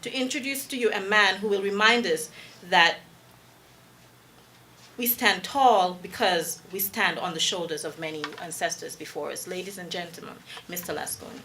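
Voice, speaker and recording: Woman presenter, formal read introduction, bright voice, faint room noise. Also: lowish voice. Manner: measured, formal, trailing off at line ends. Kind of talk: reading a prepared introduction.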